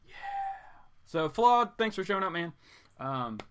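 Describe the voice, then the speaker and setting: A man's voice: on the high side, sing-song, measured pace, a bit bright, smooth. A man hosting a live stream in an informal, rambling chat, with playful asides that swing between exclaiming and muttering. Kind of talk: casual rambling chat, reading viewer comments.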